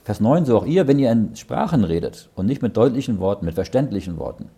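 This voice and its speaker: A man's voice: lowish voice, very animated, fast talker, gravelly, warm tone, breathy edge. A man leading a Bible study. He reads verses aloud, explains them in plain words and often checks with "ja?". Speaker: Bible teacher.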